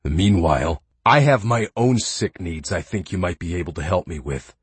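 A man's voice: sits low, lively intonation, normal speed, husky, dry recording. Man playing a menacing possessive young man. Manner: growling, spitting threats.

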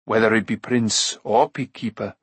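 Noble elder-statesman prince male reader in role: grave, calm, compassionate, measured storybook delivery. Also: fairly low pitch, breathy edge, no room sound. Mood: wise counsel. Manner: measured, solemn, gentle.

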